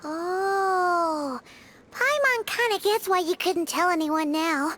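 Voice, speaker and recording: A woman voicing a tiny, childlike fairy companion in a video game, squeaky, wide-eyed and expressive. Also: takes it slowly, noisy background, heavily acted. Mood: understanding. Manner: wide-eyed, expressive, refers to herself in third person. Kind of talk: scripted video game dialogue.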